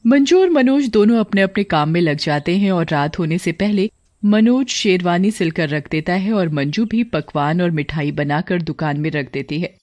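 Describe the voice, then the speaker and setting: A woman's voice: on the low side, lively intonation, normal speed, a little mellow, no room sound. Woman narrating a story, dry close sound. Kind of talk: scripted cartoon story narration.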